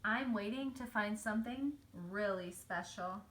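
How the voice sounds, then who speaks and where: A woman's voice: medium pitch, measured pace, a bit bright, a little hiss or hum, natural voice. A woman voicing a small, independent-minded young male snail schoolchild in a children's storybook, speaking plainly and insistently. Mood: mild.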